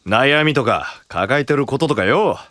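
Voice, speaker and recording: A man playing a gruff, frank, big-brotherly young man who speaks bluntly in an anime audio drama. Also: medium pitch, lively intonation, measured pace, bright, forceful tone, no room sound, studio quality. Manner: blunt, rough-spoken, encouraging.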